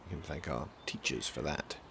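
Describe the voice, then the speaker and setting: An actor playing a dry, sardonic young prince in an audio drama, speaking formally with deadpan wit. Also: male voice, very deep voice, normal speed, gravelly, slight background noise, full character voice. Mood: sardonic, bored.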